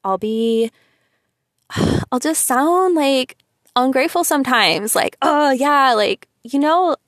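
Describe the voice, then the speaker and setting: A young woman with a sweet, slightly high voice talks straight to her listeners in a loose, venting monologue. She is chatty and emphatic, leaning on "like" and "you know". The recording is close and dry, with little room sound. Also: animated, slow, dry recording. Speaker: vlogger.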